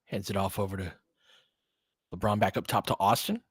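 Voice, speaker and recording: A man's voice: low voice, a little fast, husky, dry recording, studio quality. A man giving informal live basketball play-by-play and analysis, conversational and openly partisan, like a fan of the home team.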